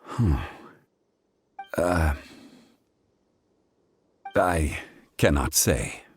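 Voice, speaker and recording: Very deep, solemn ancient god. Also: male voice, animated, slight background noise, full character voice. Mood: regretful, firm. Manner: measured, formal, grave. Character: ancient male god, wise, solemn, reserved, weary. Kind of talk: dramatic video game dialogue.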